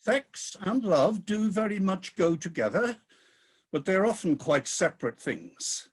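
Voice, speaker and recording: A man's voice: sits high, expressive, a little slow, husky, exaggerated voice. An actor playing a weary, disillusioned ageing king of the gods in a comic radio play, with a grumbling, theatrical delivery. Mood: resigned, musing.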